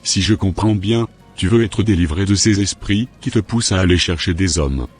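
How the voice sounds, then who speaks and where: A man voicing a menacing, domineering spiritual master in an amateur cartoon dub, speaking in a commanding, deliberate way. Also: low-pitched, soft background music, natural voice. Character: menacing, domineering male spiritual master, a sinister occult healer.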